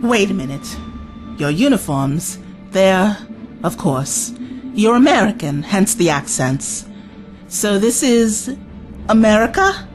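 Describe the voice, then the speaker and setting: A woman's voice: mid-range voice, big pitch swings, slow, long pauses, music in the background. An actress playing a feisty, indignant and outspoken woman time traveller in an audio drama. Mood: puzzled, thinking.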